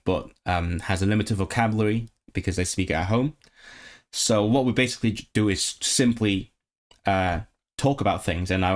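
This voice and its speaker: A young man streaming live, chatting casually with viewers about his tutoring work, stopping and restarting as he thinks aloud. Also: on the low side. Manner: hesitant, many restarts and fillers, thinking aloud.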